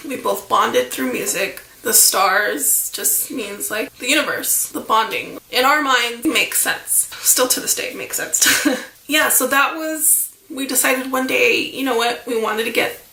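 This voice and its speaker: A woman's voice: on the high side, measured pace, creaky, pushed and bright. A woman in her thirties telling a casual storytime on her channel, chatty and rambling, with a stuffy voice from a cold. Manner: rambling, chatty, with fillers like "like" and "you know". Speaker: vlogger. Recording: echoey room.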